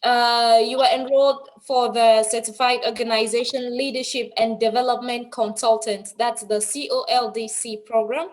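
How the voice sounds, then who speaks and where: A woman's voice: fairly high pitch, steady tone, normal speed, few pauses. A woman hosting an online interview show in an effusive, announcer-like manner. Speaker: host, anchor of an online TV show. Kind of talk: presenting and interviewing.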